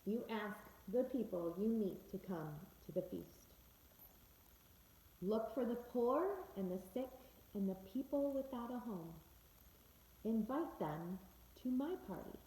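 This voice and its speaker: A commanding, generous rich man from a children's parable, read by a woman. The voice is mellow and a little high, giving plain instructions. It is heard in an echoey room. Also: animated, takes it slowly. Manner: instructing, declarative. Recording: echoey room with faint background noise.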